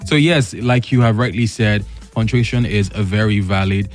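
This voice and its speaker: A man's voice: lowish voice, moderate pace, soft background music. A young man, co-hosting a radio show and joking around with his partner. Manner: jokey, mock-threatening, laughs a lot. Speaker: radio co-host.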